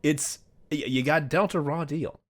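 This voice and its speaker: An American man hosting a comedy podcast, talking casually in an animated, sweary way. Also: a little high, a little slow. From United States. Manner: animated, sweary, laughs readily. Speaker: podcast host.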